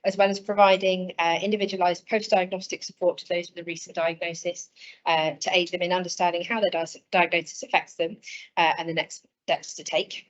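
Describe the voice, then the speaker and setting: Woman giving a brisk work presentation. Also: fairly low pitch, level tone. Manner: brisk and informative, with frequent ums. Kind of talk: presentation at an online event. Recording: dull lo-fi sound.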